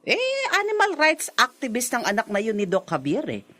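Polite but firm village captain. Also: female voice, on the high side, huge pitch swings, pushed and bright, soft background music, exaggerated voice. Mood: firm, explaining. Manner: courteous, measured, firm. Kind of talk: Filipino radio drama acting.

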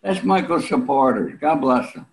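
An elderly man talking casually and bluntly as an interview guest, salty and pausing often, on a video call from a room at home. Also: medium pitch, animated, gravelly, low-quality recording. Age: 70s or older. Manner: blunt, salty, with frequent pauses.

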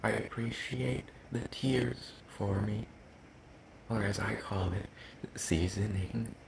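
Man as a slow, gravelly soul-eating demon. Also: fairly low pitch, steady tone, a loud crowd. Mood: menacing, hushed. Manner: slow, halting, hushed delivery with deliberate pauses. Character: hungry male soul-eating demon, soft-spoken, sinister yet apologetic. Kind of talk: ASMR roleplay, soft speaking and whispering.

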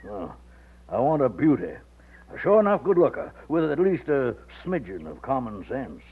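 A blustery, commanding fifty-year-old Texan colonel, with a gravelly American voice that swings widely in pitch. He is bossy yet fond, in a thin vintage radio drama recording. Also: sing-song, phone-quality sound. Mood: insistent. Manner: blustery, bossy, drawn-out exclamations.

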